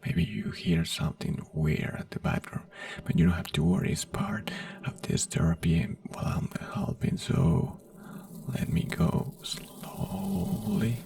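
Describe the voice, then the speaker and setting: An ASMR creator in a doctor roleplay, whispering softly in slow, soothing, simple reassuring phrases. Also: medium pitch, huge pitch swings, vocal fry, dry recording, recorded from a distance.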